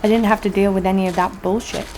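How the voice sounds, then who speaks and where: A brash female adventurer played by an actress tells her exploits in an audio drama. She is sardonic and cocky, at a middling pitch, with faint ambience behind her. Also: steady tone, fast talker, a little mellow, slight background noise, close to an everyday voice, poor audio. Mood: wry narration. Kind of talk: first-person audio drama narration and dialogue.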